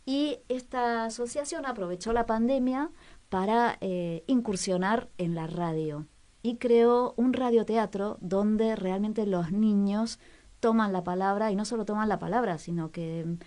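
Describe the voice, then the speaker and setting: A woman co-hosting a casual radio program, talking in a relaxed way with hesitant fillers. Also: medium pitch.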